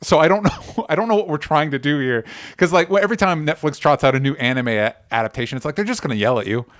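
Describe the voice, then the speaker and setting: A man's voice: on the high side, fast talker, fairly bright tone. A man co-hosting a podcast, talking casually and with strong opinions, often stopping and restarting his sentences. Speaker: podcast co-host.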